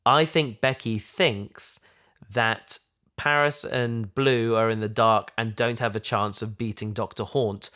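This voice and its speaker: A man in a casual discussion as a podcast host, speaking haltingly and often restarting his sentences. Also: fairly low pitch, fairly even intonation, sharp tone. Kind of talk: casual discussion of an audio drama.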